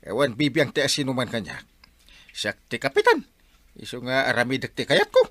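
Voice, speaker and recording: Wildly animated, lazy village captain. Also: male voice, medium pitch, normal speed, no echo. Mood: defensive, stubborn. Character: lazy, vain village captain obsessed with his online videos. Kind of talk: comedy radio drama acting.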